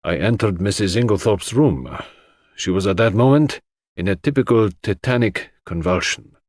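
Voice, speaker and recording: A man voicing an authoritative London toxicologist giving formal, measured evidence in an audiobook. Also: on the low side, animated, a little mellow, dry recording, clean studio sound. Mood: measured, certain.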